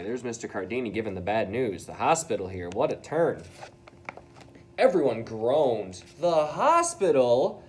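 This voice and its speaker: A man's voice: on the low side, a little mellow, slight background noise. A man reading a children's storybook aloud expressively, with casual asides to his young listeners.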